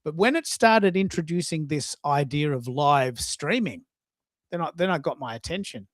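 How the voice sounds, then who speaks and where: Guy hosting a casual live audio chat, slightly high voice, effusive storyteller, dry close sound. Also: lively intonation, normal speed, no echo. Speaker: host of a live social audio show.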